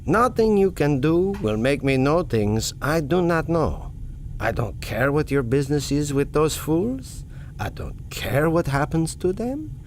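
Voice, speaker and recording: A man's voice: fairly high pitch, unhurried, a little hiss or hum. A man playing a jaded, defiant prisoner in an audio drama, with a dismissive, sardonic delivery. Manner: deadpan, dismissive.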